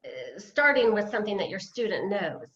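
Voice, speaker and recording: A woman's voice: mid-range voice, very animated, normal speed, fairly bright tone. A woman playing a self-deprecating, hesitant retired woman of fifty-eight, a beginner dancer, in a play read over video call. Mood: flustered, pleased. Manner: hesitant, wry, chuckling. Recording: roomy, dull video-call audio.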